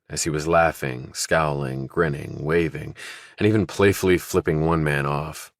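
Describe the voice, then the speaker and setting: A man's voice: a bass voice, no echo, clean studio sound. A man reading scripted thriller narration as an audiobook narrator.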